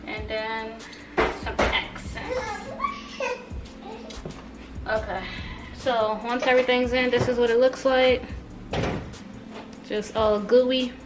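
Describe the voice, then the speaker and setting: A woman's voice: fairly high pitch, lively intonation, slow, pauses often. A young adult woman chatting casually as she vlogs at home, recorded on a camera a few feet away. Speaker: vlogger. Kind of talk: casual home cooking vlog chat. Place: small home kitchen, indoors. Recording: echoey kitchen, distant camera mic, music behind.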